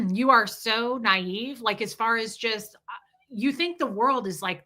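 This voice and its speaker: 40ish woman on a livestream, bright high-ish voice, swears a lot, reads chat out loud. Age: 40s. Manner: candid and profane, reading viewer comments aloud. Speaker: livestream host. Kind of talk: casual livestream chat reading super chats.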